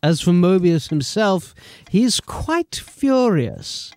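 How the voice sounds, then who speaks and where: An exasperated fantasy watch captain for an audio drama. His voice is high for a man and deliberately paced, with sardonic, clipped authority. The sound is dry, with no room around it. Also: very animated, a little mellow, dry recording, heavily acted. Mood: brisk displeasure. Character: stern, exasperated male police captain scolding a subordinate.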